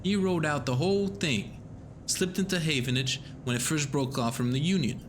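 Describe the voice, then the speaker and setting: A man voicing an intense, bitter male station worker who talks with grim urgency, his voice going hoarse. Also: a little high, normal speed, slight background noise, pitched above the performer's own voice, lightly acted. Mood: urgent.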